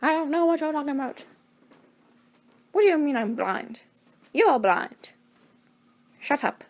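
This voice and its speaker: A woman's voice: high voice, animated, measured pace, voice pushed up. A woman voicing a stubborn elderly version of herself who insists she still sounds young. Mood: defiant. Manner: defiant, cranky, insisting. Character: stubborn elderly woman, her own future self.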